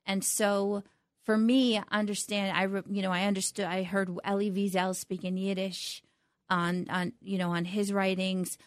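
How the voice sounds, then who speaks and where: A woman's voice: mid-range voice, flat delivery, no room sound. Reflective woman, dry close sound. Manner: reflective, halting with restarts. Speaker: interview guest, attorney. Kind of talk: conversational interview.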